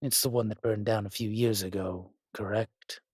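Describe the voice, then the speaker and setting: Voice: medium pitch, fairly even intonation, moderate pace, darkish tone, breathy edge, no echo, clean studio sound, close to an everyday voice. An actor playing an ancient, powerful spirit, sardonic, proud and condescending, in an audio roleplay drama.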